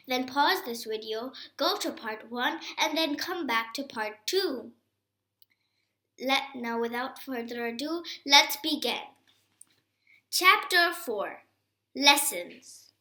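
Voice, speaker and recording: A woman reading a children's storybook aloud in an expressive, emphatic way with deliberate phrasing. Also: high-pitched, reverberant. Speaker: reader of a children's book.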